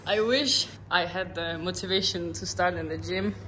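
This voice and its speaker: A woman's voice: fairly low pitch, fairly even intonation, moderate pace. A young woman in her twenties, a non-native English speaker, chatting casually to a handheld phone camera and laughing often. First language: not English. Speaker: vlogger and dancer. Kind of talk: casual vlog chat. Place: outdoors, gym and home.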